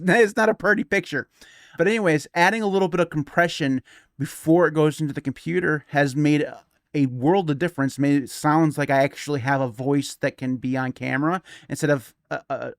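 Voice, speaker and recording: A man's voice: a little high, no echo, studio quality. A man of forty chatting casually as a podcast co-host, rambling with many restarts and easy laughs. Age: 40s. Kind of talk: casual tech chat.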